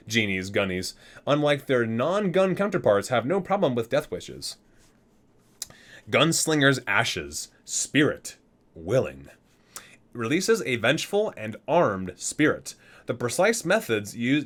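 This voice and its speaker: A man's voice: mid-range voice, fairly bright tone. A man reading aloud in an ASMR style with casual asides, stumbling over words and correcting himself as he goes. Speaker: ASMR video creator reading a game guidebook.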